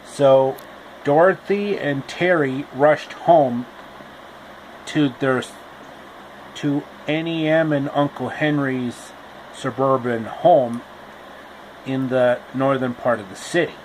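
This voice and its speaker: A man retelling a classic fairy tale in a casual storybook style, with slow, repetitive explanatory asides. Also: mid-range voice, a little mellow, a little hiss or hum, low-quality recording.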